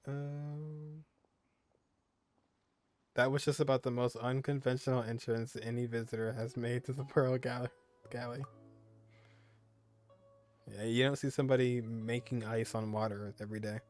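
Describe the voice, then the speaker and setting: A man's voice: mid-range voice, flat delivery, unhurried, pauses often, darkish tone, soft background music. Casual man commentating on a game. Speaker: gamer playing and reading aloud.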